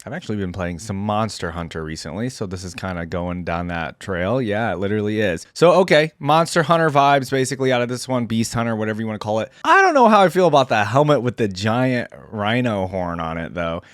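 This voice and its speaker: A man's voice: lowish voice, sing-song, brisk, hardly any pauses, dry recording, clean studio sound. A man giving casual, off-the-cuff reaction commentary as a video host, rambling informally as he thinks aloud.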